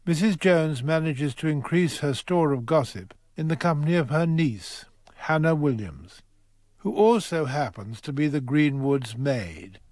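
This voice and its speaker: A man's voice: on the high side, animated, unhurried, a little mellow, no room sound, clean studio sound. A man narrating a scripted radio drama as a wry storyteller.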